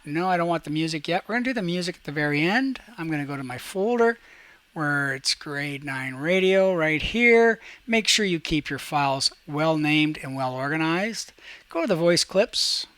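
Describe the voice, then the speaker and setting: A man with a fairly high voice leads a step-by-step software lesson. He explains each action aloud as he does it, in an instructional manner, and the sound is dry and close. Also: no echo. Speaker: teacher and tutorial host. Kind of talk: screen-recorded software tutorial, step-by-step instruction.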